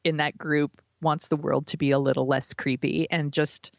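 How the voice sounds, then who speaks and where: The sound is dry and somewhat dull, a lo-fi microphone. An American woman speaks in a lowish voice as a podcast co-host. She chats casually in slangy, informal phrasing. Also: level tone, normal speed, gravelly, dry recording. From United States. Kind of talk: casual chat.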